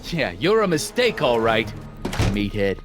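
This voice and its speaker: A man's voice: medium pitch, very animated, measured pace, over music. An actor playing a brash, bullying American gangster boss in a video game, with sarcastic, domineering tough-guy talk and old-time slang. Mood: irritated, threatening.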